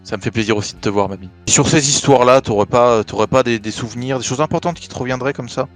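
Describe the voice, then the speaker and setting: A man speaking in character during a tabletop role-play, at a mid-range pitch. He stammers and repeats himself while pressing with questions. Faint music plays behind him. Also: fast talker. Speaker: player.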